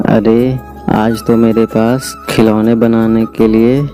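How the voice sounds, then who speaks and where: A man's voice: fairly low pitch, level tone, takes it slowly, warm tone, faint music behind. A man voicing a hardworking, patient village potter husband in a moral-story cartoon, speaking plainly and earnestly. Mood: musing.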